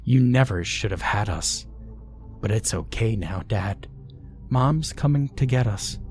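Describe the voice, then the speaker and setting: A man's voice: on the low side, lively intonation, unhurried, a little mellow, faint music behind. A man voicing a possessed daughter who mutters cold accusations in a near whisper. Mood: cold accusing.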